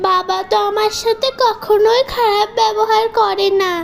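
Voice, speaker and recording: A woman's voice: very high voice, flat delivery, normal speed, creaky, slight background noise. A woman voicing a timid, mistreated little girl in a cartoon, pleading in a plaintive, obedient way. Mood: pleading, tearful. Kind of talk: melodramatic cartoon voice acting.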